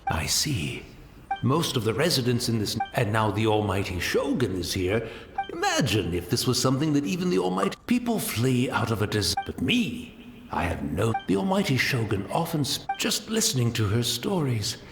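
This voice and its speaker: An actor playing an elderly blind tea master in a game, courteous and wistful, speaking haltingly. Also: male voice, medium pitch, big pitch swings, reverberant. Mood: wistful, resigned. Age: older. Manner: courteous, hesitant, halting speech. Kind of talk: English game voice acting. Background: game music.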